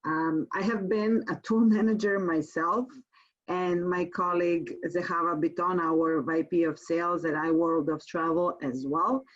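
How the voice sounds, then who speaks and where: A woman from Croatia with a Croatian accent gives a webinar sales presentation as a tour company presenter, speaking haltingly with frequent pauses. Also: on the low side, steady tone. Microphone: online webinar call. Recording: muffled, band-limited webinar call audio.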